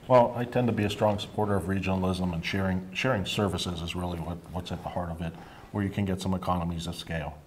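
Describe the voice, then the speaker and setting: An American man with a deep voice answers at a candidates' forum. His manner is measured and fairly formal, and there is faint noise in the room. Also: fairly even intonation. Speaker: city council candidate.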